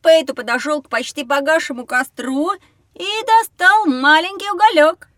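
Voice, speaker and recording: A woman's voice: high-pitched, moderate pace, no echo, clean studio sound. An actress voicing a curious, slightly cocky young boy in a scripted educational audio drama for children. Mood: confident, eager. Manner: expressive, inquisitive, a little cocky. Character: curious, cheeky young boy on a learning adventure.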